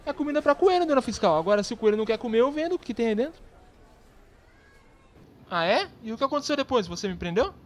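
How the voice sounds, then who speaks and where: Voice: medium pitch, very animated, fast talker, pauses often, lightly acted. A performer voicing a silly, clueless young man in a cartoon comedy, clowning naively with a Brazilian accent. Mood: cheeky. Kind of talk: cartoon sketch comedy dialogue. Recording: heavy background noise, clicks.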